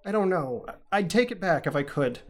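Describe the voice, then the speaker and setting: A man's voice: high voice, animated, moderate pace. A man playing an awkward, apologetic young college dropout in a modern audio drama, earnest and self-deprecating. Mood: remorseful.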